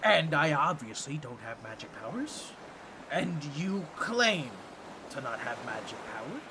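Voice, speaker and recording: A man's voice: fairly high pitch, very animated, a little slow, background noise. A man voicing a boastful, scheming octopus merman with a grating, nasal, squawky voice and a pompous, awkward manner in a comedic audio roleplay. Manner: theatrical, pompous, fumbling with awkward asides.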